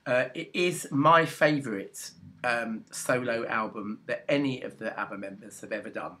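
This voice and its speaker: A man's voice: mid-range voice, moderate pace, pushed and bright, slight background noise. A man talking casually to his viewers about a record, rambling with lots of ums and restarts. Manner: chatty, hesitant, many ums and restarts. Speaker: music video blogger. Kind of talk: casual rambling talk to camera.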